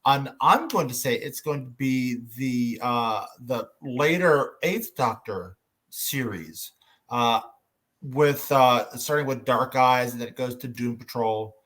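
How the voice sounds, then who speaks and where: A man hosting a fan panel in casual conversation, with a hesitant, halting delivery. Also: medium pitch, fairly bright tone.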